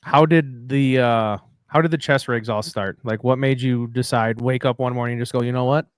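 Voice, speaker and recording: A man's voice: medium pitch, steady tone, moderate pace, clear, resonant tone. A male podcast host rambles and cracks jokes at his own expense in a loose, casual way. His voice sits mid-range and sounds dry, with little room echo. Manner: rambling, joking, self-deprecating.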